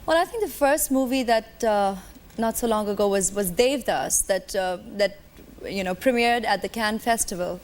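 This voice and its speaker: A young woman from India with an Indian accent, answering interview questions in a poised, polite way and laughing softly now and then. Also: mid-range voice, moderate pace, a little hiss or hum. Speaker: guest, film actress. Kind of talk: casual interview chat.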